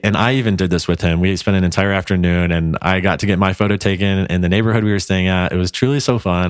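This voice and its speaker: A man's voice: deep voice, smooth, no room sound, clean studio sound. A man hosting a podcast in a casual, informal, gushing way, peppering his talk with "like". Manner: gushing, informal, frequent 'like'. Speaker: podcast host. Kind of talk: casual podcast interview chat.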